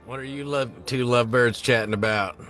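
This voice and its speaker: A man's voice: medium pitch, fairly quick, fairly bright tone, soft background music, lightly acted. A man playing a cocky, teasing small-town guy in a film script table read.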